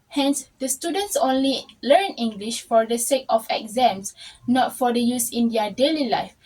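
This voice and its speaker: A woman's voice: a little high, a little mellow, rough recording. A young woman, a university student steadily reading a prepared class presentation. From Malaysia. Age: young adult. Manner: reads steadily from a prepared script.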